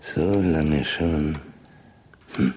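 A thoughtful, reserved man of science in a radio play. The voice is extremely deep, with a hesitant, reflective delivery. There is faint noise and clicking in the recording. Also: fairly even intonation, extremely slow, a little mellow, exaggerated voice. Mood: weary, reserved. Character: thoughtful, reserved male scientist and wealthy employer. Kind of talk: radio drama acting.

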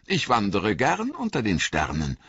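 Young hobbit, read by a man, polite and curious, lifted voice, lively. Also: lowish voice, unhurried, slightly rough, no room sound, muffled recording, pitched above the performer's own voice, full character voice. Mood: courteous.

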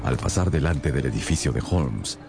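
An actor playing a gentlemanly physician who recounts detective cases in a radio drama, with measured, theatrical delivery and deliberate pauses. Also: male voice, a bass voice, lively intonation, vocal fry, music in the background, full character voice. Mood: reminiscing. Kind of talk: radio drama first-person narration.

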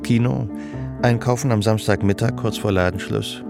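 A man narrating a literary radio drama in a scripted, measured storytelling style, with a gravelly voice. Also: on the low side, fairly even intonation, over music.

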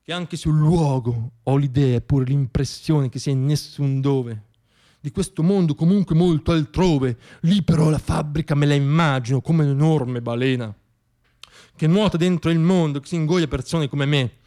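A man performing a theatrical radio monologue as a stage storyteller, reciting rhythmically and declaiming with dramatic pauses. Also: fairly high pitch, mellow. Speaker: solo stage actor and storyteller.

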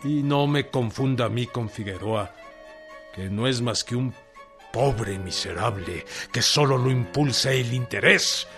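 An actor playing a proud, indignant Mexican revolutionary general who declaims solemnly and emphatically in a radio drama. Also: male voice, medium pitch, a little slow, a bit bright. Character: proud, indignant revolutionary peasant general dictating a defiant letter. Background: music.

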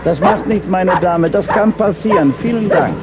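An actor playing a polite, gentle blind man out walking with his guide dog in a radio drama. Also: sits high, lightly acted. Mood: polite, reassuring. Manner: courteous, slow, counting steps to himself. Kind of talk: German radio drama acting. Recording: very noisy outdoor street scene.

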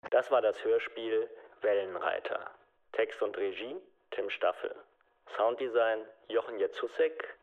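Gravelly male radio announcer. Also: fairly low pitch, a little slow. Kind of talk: scripted credits announcement. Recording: slightly muffled radio sound.

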